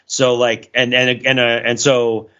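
A man's voice: mid-range voice, flat delivery, a little slow, bright, forceful tone, muffled recording. A man co-hosting a podcast, explaining things in a casual, discursive way and often checking in as he goes. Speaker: podcast co-host.

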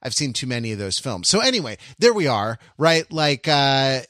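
A man's voice: medium pitch, big pitch swings, normal speed, fairly bright tone, no echo, studio quality. A man co-hosting a podcast, talking in long rambling sentences with many restarts and laughing asides. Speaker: podcast co-host. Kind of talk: casual discussion.

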